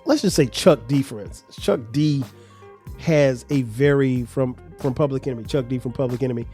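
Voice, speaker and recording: A man's voice: medium pitch, normal speed, mellow, soft background music. A man with a South Carolina accent and a slightly nasal voice, rambling conversationally as a podcast host, a little congested. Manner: rambling, conversational, many restarts. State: congested sinuses. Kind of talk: casual solo podcast talk.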